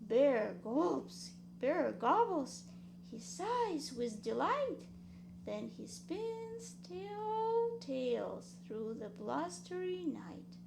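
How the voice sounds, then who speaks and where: Very slow, high-pitched woman storyteller. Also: very animated, creaky, noisy background. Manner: rhythmic, dramatic sing-song delivery. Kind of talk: expressive children's read-aloud.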